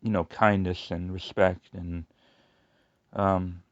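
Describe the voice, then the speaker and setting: A man with a noticeable lateral lisp talks in a low, rambling voice and keeps restarting his sentences. The recording is dry and a bit dull. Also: little pitch movement, dry recording. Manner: rambling, halting, many restarts and fillers. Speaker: YouTuber. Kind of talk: casual personal monologue.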